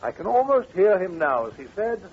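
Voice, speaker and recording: A dull, faintly hissy old radio recording of an avuncular English gentleman storyteller. His voice is a little high and swings animatedly as he chats in genial period radio drama manner. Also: sing-song, a little fast. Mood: genial reminiscing. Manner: avuncular, chatty. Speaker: narrator and sidekick. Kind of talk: 1940s radio acting.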